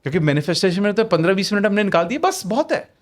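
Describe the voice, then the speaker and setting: Animated man explaining with lively pitch. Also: racing. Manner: emphatic, rhetorical questions, mixes in English phrases. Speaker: podcast guest, manifestation author. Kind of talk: explanatory conversational talk.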